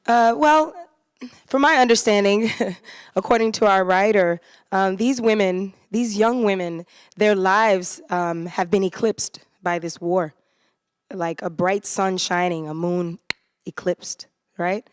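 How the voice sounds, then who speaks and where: A woman's voice: medium pitch, takes it slowly, clear, resonant tone, dry recording, clean studio sound. A woman from the United States, a cast member speaking earnestly and from the heart at a post-show talkback on a theater stage. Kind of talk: post-show panel talk.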